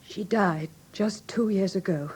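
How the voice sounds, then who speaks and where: A woman with an English received pronunciation accent playing a frightened, grieving young gentlewoman who speaks formally and earnestly in a classic radio drama. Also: mid-range voice, unhurried, breathy edge, low-quality recording. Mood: anxious, sorrowful. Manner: formal, earnest, precise storytelling.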